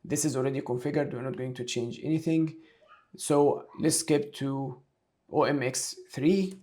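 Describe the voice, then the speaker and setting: A man giving a step-by-step software tutorial, talking through each action aloud as an instructor. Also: medium pitch, normal speed. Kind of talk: screen-share tutorial, explaining step by step.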